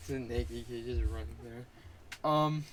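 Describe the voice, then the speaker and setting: A man's voice: mid-range voice, steady tone, unhurried, noisy background. A young man co-hosting a podcast, chatting casually and trailing into restarts. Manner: laid-back, trailing restarts. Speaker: podcast co-host. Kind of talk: casual chat.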